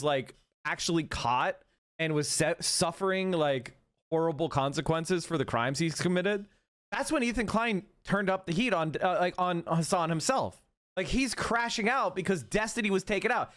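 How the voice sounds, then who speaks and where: A man's voice: high voice, fairly bright tone, no room sound. A man live-streaming, reacting to a clip and talking to his chat in a rambling, mocking way.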